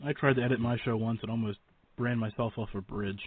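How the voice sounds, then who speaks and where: Male radio interviewer, average pitch, informal and rambling with a joking streak, muffled low-fidelity recording. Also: fairly even intonation, fast. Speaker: radio talk show host. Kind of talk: casual chatty interviewing.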